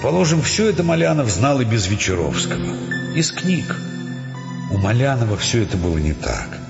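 A man's voice: mid-range voice, very animated, normal speed. A male narrator telling a story in a radio play with dramatic, wry expression, in echoey sound with music behind. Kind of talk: dramatic scripted audiobook narration. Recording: echoey reverberant dull sound over clear music.

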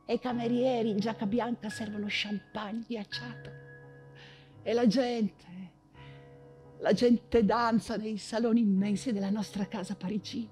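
An actress playing an anguished, proud woman writer in wartime Paris, in a theatrical monologue with halting, insistent repetitions. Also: a little high, fairly even intonation, darkish tone, faint music behind, natural voice. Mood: wistful.

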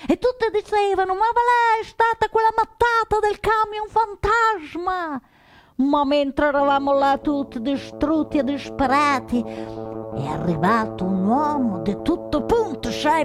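A woman playing an excitable, naive young villager, telling a story in a chatty, repetitive, breathless way for radio theatre. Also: high-pitched.

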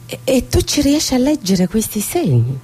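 A woman's voice: on the high side, huge pitch swings, moderate pace, dark tone, husky, exaggerated voice. An actress playing a grave, proud, fatalistic Somali woman seer in a stage drama. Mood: curious. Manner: solemn, fatalistic.

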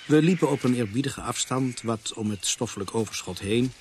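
Male narrator actor for radio mystery: medium pitch, measured, grave, faint background noise. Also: darkish tone, lightly acted. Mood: measured, serious. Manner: measured, serious. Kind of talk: scripted dramatic narration. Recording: faintly noisy radio play soundtrack.

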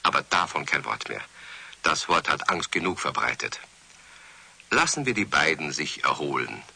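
Radio play narrator, good-humoured, confiding, gravelly and bright-edged, animated delivery for children. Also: male voice, extremely low pitch, normal speed, a little hiss or hum, full character voice. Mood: wry, confiding. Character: knowing male storyteller addressing children, good-humoured.

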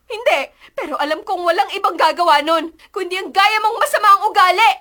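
A woman's voice: very high voice, a little fast, pushed and bright. An actress playing a feisty, indignant young female student in a Filipino radio drama, with an emotional, dramatic delivery. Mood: angry, tearful. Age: young adult.

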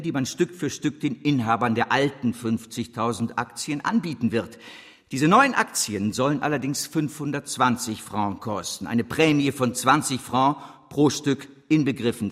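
An actor playing a proud, persuasive bank director giving a formal, measured address in a radio play. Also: male voice, mid-range voice, fairly bright tone. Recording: reverberant hall.

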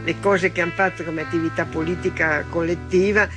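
A woman's voice: fairly low pitch, steady tone, fairly quick. An older woman from Italy explaining things conversationally, as in a recorded testimony. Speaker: former partisan giving testimony. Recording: guitar music under the voice.

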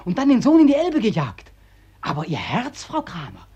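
An actor playing a bitter, haunted young drowned soldier in a mid-century radio drama, mockingly deferential, accusing and anguished. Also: male voice, high-pitched, wildly swooping pitch, normal speed, dark tone, breathy edge, heavily acted. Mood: bitterly accusing. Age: young adult. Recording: dull, band-limited old radio recording.

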